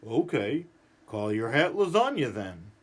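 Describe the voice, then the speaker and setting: A man voicing a patient pony who gently coaxes and suggests things in a storybook read-aloud. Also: mid-range voice, big pitch swings, a little slow, pitched below the performer's own voice. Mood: patient coaxing. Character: mild, coaxing pony who turns melodramatically wounded.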